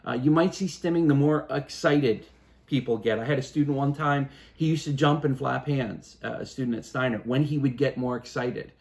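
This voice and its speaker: A man in his fifties, a father explaining things in a calm, casual chat at home, pausing and restarting as he thinks. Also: mid-range voice. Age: 50s. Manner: thoughtful, halting, many restarts and fillers. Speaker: parent.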